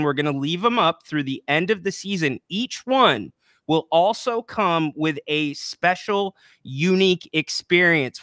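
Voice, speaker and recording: A man's voice: on the high side, sharp tone, clear, resonant tone, dry recording, studio quality. A young man co-hosting a casual postgame basketball show, speaking into a podcast microphone in a small room with an upbeat, promotional manner. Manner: upbeat, promotional, brisk play-by-play recap. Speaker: co-host. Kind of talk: casual postgame sports chat. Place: small room with a plant and a banner. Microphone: podcast microphone on a boom arm.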